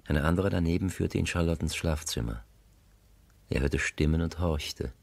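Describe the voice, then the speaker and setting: A man narrating a literary radio play, grave, composed and deliberate, on a close studio mic. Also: very deep voice, gravelly, no echo, heavily acted.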